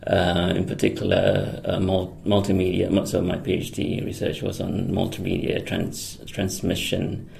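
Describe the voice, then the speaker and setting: A man's voice: low-pitched, completely flat delivery, moderate pace, creaky, hardly any pauses, darkish tone, a little hiss or hum. A man, a guest answering interview questions with many ums, restarts and frequent laughs. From Singapore. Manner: hesitant, many fillers and restarts; laughs often. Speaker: guest, electronics engineer. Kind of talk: conversational radio interview.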